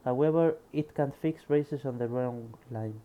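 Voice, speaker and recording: A man's voice: mid-range voice, a little slow, phone-quality sound. A man with a Spanish accent reads a programming book aloud and mutters to himself as he tries things out. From Spain. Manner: reads passages aloud, then muses quietly to himself. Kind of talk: casual screen-recorded reading and coding.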